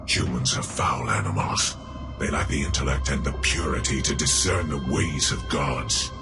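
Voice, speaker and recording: An actor playing a stern alien warrior commander in a game cutscene, giving curt orders in a deep gravelly growl. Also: male voice, moderate pace. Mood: scornful. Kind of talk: video game cutscene acting. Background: music score.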